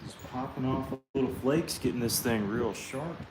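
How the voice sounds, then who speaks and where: A man's voice: mid-range voice, normal speed, reverberant, very noisy. A man hosting a casual live stream, chatting while he knaps stone and reading viewer comments aloud. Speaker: livestream host.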